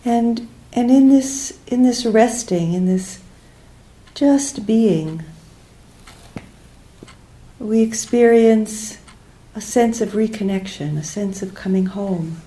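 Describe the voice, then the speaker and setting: A woman leading a guided meditation as a teacher, speaking gently and unhurriedly in short phrases with pauses between them. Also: mid-range voice, rough recording.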